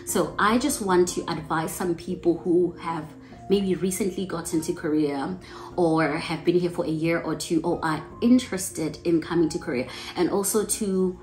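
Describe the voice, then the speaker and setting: A young woman with a South African accent speaks in a low, earnest voice, candid and direct with her viewers. She records in a room with a noticeable echo, and faint music plays behind her. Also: darkish tone. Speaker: English teacher and vlogger. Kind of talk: casual talk to camera.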